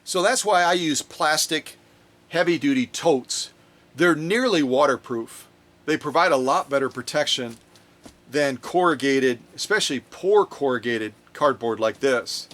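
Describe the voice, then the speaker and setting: A man with a voice slightly on the higher side talks casually to his viewers in a workshop vlog. He is a lively, chatty storyteller who wanders off on tangents and rarely stops talking. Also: measured pace. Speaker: sewing machine restorer. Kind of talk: casual unboxing vlog talk. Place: workshop, recording his own video.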